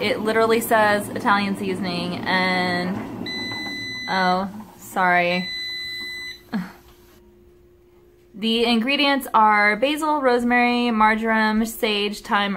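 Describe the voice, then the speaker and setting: A woman in her thirties chatting casually as she shows a recipe in her small home kitchen, self-deprecating and quick to laugh, recorded on a phone camera held close. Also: mid-range voice, noisy background. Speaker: cooking vlogger. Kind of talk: casual cooking vlog chat.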